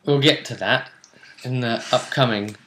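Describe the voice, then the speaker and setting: A man's voice: mid-range voice, a little slow, pushed and bright, slight background noise, low-quality recording. A man hosting a tabletop role-play tournament show, talking casually as he comments and speculates.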